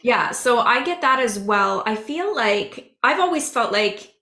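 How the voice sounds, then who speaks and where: A woman psychic medium chatting on a podcast with a hoarse, raspy voice, explaining earnestly at length. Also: fairly high pitch, lively intonation, bright, forceful tone, roomy sound. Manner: rambling, earnest, drawn-out explaining. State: hoarse, losing her voice. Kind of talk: casual podcast chat.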